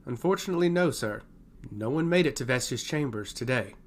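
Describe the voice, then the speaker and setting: A man's voice: mid-range voice, animated, brisk, natural voice. A man voicing a stoic, elderly female royal advisor in a fantasy story, formal and deferential.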